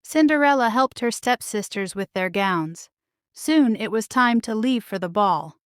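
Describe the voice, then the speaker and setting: Lady narrating a kids bedtime story, slow and expressive, really clean dry recording. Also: on the high side, smooth, dry recording. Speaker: storybook reader. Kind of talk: scripted children's story narration.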